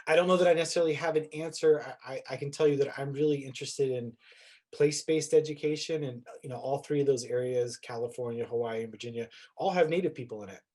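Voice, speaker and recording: A man's voice: medium pitch, fast talker, darkish tone. Man, mid-range voice, casual panel talk, teacher, informal, anecdotal. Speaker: guest speaker, teacher.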